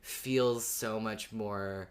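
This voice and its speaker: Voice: medium pitch, fairly even intonation, drawn-out words. Earnest podcast co-host with an ambiguous-sounding voice. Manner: earnest, explanatory, informal. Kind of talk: casual conversational podcast commentary.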